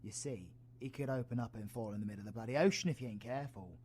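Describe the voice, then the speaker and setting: A British man playing a roguish, protective, gruff yet tender supervillain in an audio roleplay, husky and colloquial. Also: medium pitch, fast, a little mellow, slight background noise, close to an everyday voice. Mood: irritated, sardonic. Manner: sweary, teasing, colloquial. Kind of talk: audio roleplay, villain ASMR.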